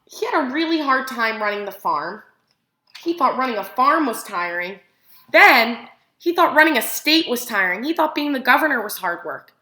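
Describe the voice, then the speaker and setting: Bright, high-voiced woman reading to young children. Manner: animated, expressive, simple child-directed phrasing with gasps for effect. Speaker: teacher reading a picture book aloud to preschoolers. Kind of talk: children's read-aloud with questions to young listeners. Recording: echoey room sound.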